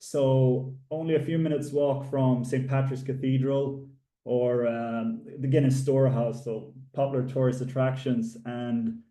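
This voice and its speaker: A man from Finland and Sweden giving a webinar presentation in a halting way, with frequent filler pauses. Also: mid-range voice, level tone, mellow. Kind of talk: slide presentation. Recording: echoey room, distant mic.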